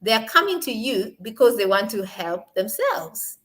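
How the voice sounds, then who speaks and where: A young woman from Kenya with a Kenyan accent, speaking at a panel in an emphatic way that rises to forceful peaks on her key points. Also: fairly high pitch, sing-song, moderate pace. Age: young adult. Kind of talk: impassioned panel talk.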